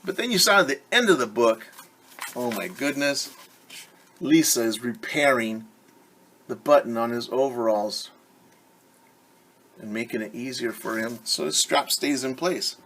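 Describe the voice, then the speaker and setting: A male teacher reading a picture book aloud to children, pausing to explain words and ask questions. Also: mid-range voice, expressive, slight background noise. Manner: warm, questioning teacher talk to kids.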